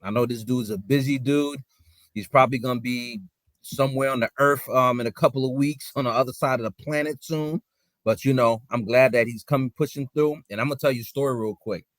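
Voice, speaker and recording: A man hosting a podcast, telling a story in relaxed casual slang among friends. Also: medium pitch, fairly quick, no room sound. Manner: laid-back slangy storytelling. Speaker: podcast host. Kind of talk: casual chat.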